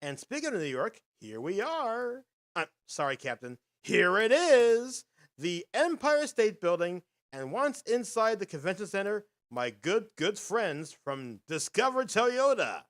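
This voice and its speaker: A man with a high, bright voice hosts a casual vlog, narrating footage in a rambling, upbeat announcer patter. His pitch swings widely as he talks, and his tone stays sharp and forward. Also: sing-song, measured pace, a faint crowd. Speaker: host.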